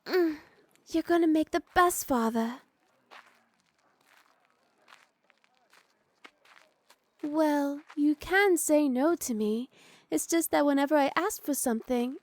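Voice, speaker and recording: A woman's voice: high-pitched, a little mellow, dry recording. A woman playing a doting, teasing young noblewoman wife in an intimate ASMR roleplay, sweet and coaxing. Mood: teasing, affectionate. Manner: sweet, coaxing, playfully scolding.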